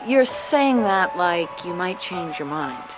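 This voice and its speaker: An actress playing an earnest, idealistic and caring resistance comrade in a video game cutscene. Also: female voice, fairly low pitch, big pitch swings, takes it slowly, over music. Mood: tender, earnest.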